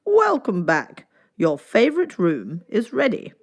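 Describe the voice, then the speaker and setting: Woman playing deferential storybook serving man, lively pitch. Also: a little slow, slightly rough, heavily acted. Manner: formal, deferential. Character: courteous, deferential male hotel clerk and waiters. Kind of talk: storybook character voice.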